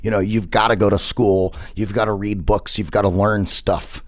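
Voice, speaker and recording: A man's voice: on the low side, flat delivery, normal speed, dry recording, clean studio sound. A man, hosting a radio interview show in casual chat, rambling with many fillers and repeated words. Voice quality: big voice. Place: radio studio.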